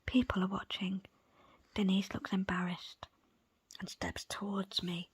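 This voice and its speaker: Woman doing asmr book reading, super breathy whisper, takes her time, really dry close sound. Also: medium pitch, little pitch movement, a little slow, no room sound. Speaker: audiobook reader. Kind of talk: ASMR whispered first-person story narration.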